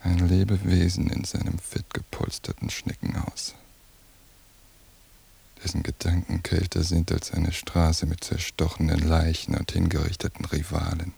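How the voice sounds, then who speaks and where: An actor playing a cold, contemptuous surveillance watcher in a radio drama monologue, with a detached, deliberate delivery and a husky voice. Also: male voice, sits low, full character voice. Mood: cold disgust. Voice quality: gravelly, dark, husky. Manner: slow, flat, detached, deliberate. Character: cold, contemptuous male surveillance watcher describing his target.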